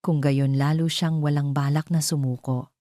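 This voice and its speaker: Low, mellow woman storyteller. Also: fairly even intonation, normal speed, no room sound, clean studio sound. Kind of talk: dramatic scripted narration of a romance audio drama.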